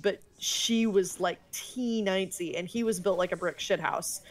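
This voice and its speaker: A woman chatting casually on a stream, playful and full of quick quips. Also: mid-range voice. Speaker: stream co-host. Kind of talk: casual chat.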